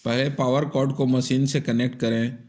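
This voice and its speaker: A man's voice: mid-range voice, level tone, moderate pace, darkish tone. A man giving step-by-step instructional narration for an electronics repair tutorial in a methodical, explanatory manner.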